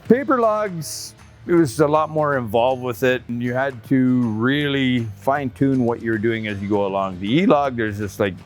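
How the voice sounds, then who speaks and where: A middle-aged trucker talks casually to his viewers, rambling through his asides and laughing often. His voice sits at an everyday pitch, with faint music playing underneath. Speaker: truck driver vlogger. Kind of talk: casual talk to camera.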